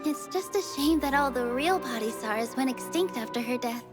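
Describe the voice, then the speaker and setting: A woman voicing a gentle, sincere young woman in an anime game, with a hesitant, questioning manner. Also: sits high, lively intonation, brisk, over music. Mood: wistful. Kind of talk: scripted anime game voice acting.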